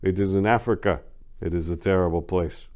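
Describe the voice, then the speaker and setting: A man's voice: sits low, steady tone, a little mellow, natural voice. An earnest young immigrant man played by a male narrator. He speaks plainly in simple, careful English, a character in a crime audiobook. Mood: earnest, somber. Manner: simple, formal, halting English.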